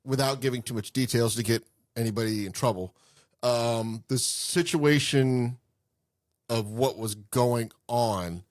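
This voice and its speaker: A man's voice: mid-range voice, measured pace. A man telling a story casually on a podcast, rambling and trailing off partway through sentences.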